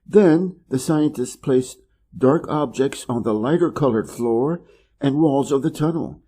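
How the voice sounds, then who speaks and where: Man with an american midwest accent, very dark mellow tone, slightly husky, measured careful reading for learners. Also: mid-range voice. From Wisconsin, USA. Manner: measured and deliberate, clearly pronounced. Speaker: reader of an English learning channel. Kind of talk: slow, careful reading aloud.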